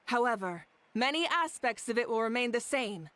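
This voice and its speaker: A woman's voice: a little high, animated, normal speed, a bit bright. A woman voicing a commanding, formal female leader in a video game. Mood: solemn, rousing. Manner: formal, oratorical. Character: commanding, rousing female leader addressing her warriors.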